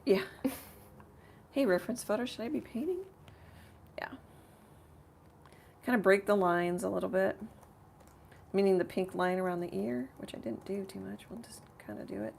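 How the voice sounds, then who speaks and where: A woman with a slightly rough voice hosting a casual live painting tutorial, thinking aloud in a rambling way as she works. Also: medium pitch, fairly even intonation, moderate pace, long pauses, darkish tone, slight background noise. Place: home art studio.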